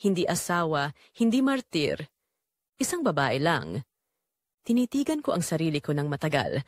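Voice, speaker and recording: A woman with a Filipino accent narrating a scripted drama in the first person, measured and emotive with pauses. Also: fairly low pitch, dry recording, clean studio sound. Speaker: storyteller.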